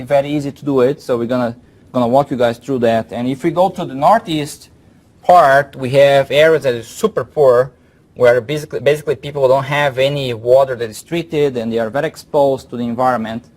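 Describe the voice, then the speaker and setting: A man's voice: medium pitch, a little mellow. A man from Brazil with a Brazilian Portuguese accent gives an informal academic presentation, often repeating words as he thinks. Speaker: guest speaker, professor. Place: lecture room.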